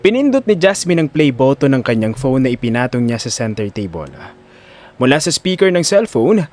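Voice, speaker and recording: A man's voice: medium pitch, big pitch swings, normal speed, darkish tone. A man narrating a scripted audio drama in a dramatic storytelling style.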